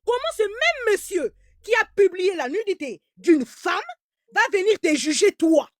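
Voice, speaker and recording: A woman's voice: extremely high pitch, sing-song, unhurried, dry recording, clean studio sound. A woman giving heated live commentary in an emphatic, repetitive way, stammering as she restarts, whose first language is German. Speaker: online commentator.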